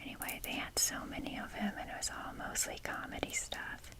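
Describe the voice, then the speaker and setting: A woman whispering softly and breathily in a casual, chatty, self-deprecating ASMR ramble, with the odd mild swear. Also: fairly quick. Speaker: ASMR video creator.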